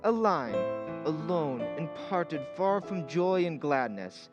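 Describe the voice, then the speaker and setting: A man playing a gentle German professor who loves poetry, speaking with deliberate, formal phrasing in old-time radio drama style. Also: a little high, lively intonation, muffled recording. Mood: yearning recitation. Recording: prominent music behind the voice.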